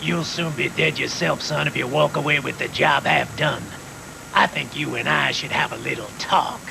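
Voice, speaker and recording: An actor playing a smooth, menacing middle-aged crime boss in a dubbed anime, patronizing and persuasive. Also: male voice, fairly high pitch, moderate pace, noisy background. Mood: coolly menacing. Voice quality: gravelly, creaky. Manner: patronizing, persuasive, calls the younger one "son". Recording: worn VHS tape, loud music behind.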